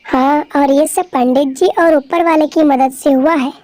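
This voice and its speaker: A woman playing a devout, patient farmer's wife in a cartoon story, gently reassuring. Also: high-pitched, steady tone, unhurried. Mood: grateful.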